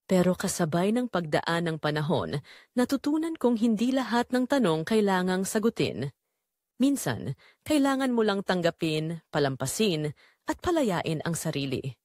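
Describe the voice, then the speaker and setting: A woman with a Filipino accent playing a betrayed, resolute daughter, narrating her story in a solemn, deliberate, emotional way. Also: fairly low pitch, very animated, darkish tone, no room sound, studio quality. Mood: sorrowful, resigned. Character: Clarice, betrayed daughter and wife, wounded, resolute. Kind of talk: first-person dramatic scripted narration.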